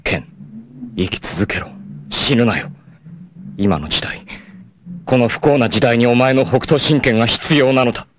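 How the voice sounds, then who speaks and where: An actor playing a proud, doomed martial-arts warrior in an anime, tender and self-sacrificing, with solemn, theatrical delivery. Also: male voice, mid-range voice, unhurried, long pauses, no echo, natural voice. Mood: tender farewell. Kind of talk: dramatic anime acting, monologue and narration.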